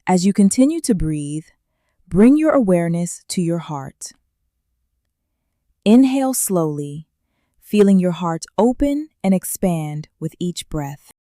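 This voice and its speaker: A woman leading a guided meditation in a calm, gentle way, with slow soothing instructions and long pauses. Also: fairly low pitch, no echo, clean studio sound.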